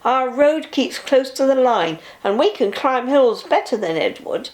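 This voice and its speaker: Woman reading as a calm, firm male driver. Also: high voice, measured pace. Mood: reassuring. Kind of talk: children's storybook character voice.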